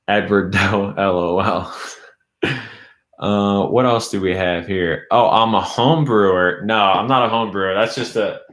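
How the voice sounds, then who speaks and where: A man's voice: lowish voice, fairly bright tone, reverberant. A young man chatting loosely on a live stream, reading out viewer comments and saying his voice is going. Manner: loose, rambling, reads chat aloud. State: losing his voice. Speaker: YouTube host. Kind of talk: casual live-stream chat.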